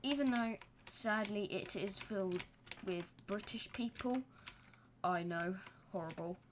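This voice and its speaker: A woman's voice: mid-range voice, unhurried, background noise. A young woman giving casual, self-deprecating commentary while building in a game, with rambling joking asides. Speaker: gaming channel host.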